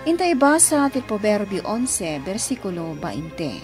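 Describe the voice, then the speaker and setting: A woman's voice: mid-range voice, sing-song, unhurried, darkish tone, soft background music. A woman radio host giving a scripted program introduction and scripture reading.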